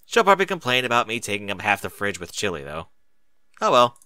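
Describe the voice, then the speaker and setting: Young boy dragon, storybook, casual, mildly grumbly, bright tone male reader. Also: on the low side, very animated, fast talker, dry recording. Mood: grumbling. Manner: casual, shrugging.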